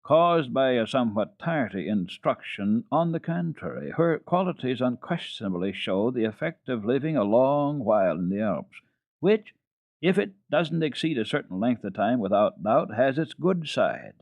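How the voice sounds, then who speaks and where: A man's voice: mid-range voice, sing-song, moderate pace, gravelly, no room sound, narrow, tinny audio, voice pushed up. A man voicing a pedantic, deferential tutor who talks in a formal, long-winded way in a storybook reading. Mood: pedantic, hesitant. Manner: formal, circuitous, fussy. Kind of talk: dramatic children's storybook reading.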